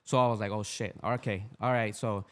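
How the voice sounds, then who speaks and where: A man's voice: fairly low pitch, steady tone, a little fast. A man in his thirties, a podcast guest, talking candidly in a casual chat, rambling with many restarts and slang. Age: 30s. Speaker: podcast guest, street activist.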